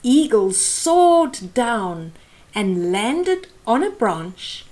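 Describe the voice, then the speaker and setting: A woman's voice: on the high side, very animated, very slow, darkish tone. A middle-aged woman hosting a children's storytime, reading a picture book expressively and explaining it to young listeners. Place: small indoor room.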